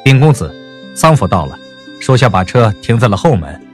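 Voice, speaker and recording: A man's voice: mid-range voice, very animated, moderate pace, faint music behind, close to an everyday voice. An actor playing a dutiful male attendant in a Chinese costume audio drama, respectful and formal. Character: dutiful male attendant reporting to his young lord.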